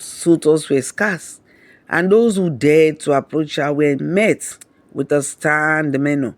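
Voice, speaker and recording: A man narrating a scripted romantic folktale in a measured, dramatic storybook manner. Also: fairly high pitch, no room sound.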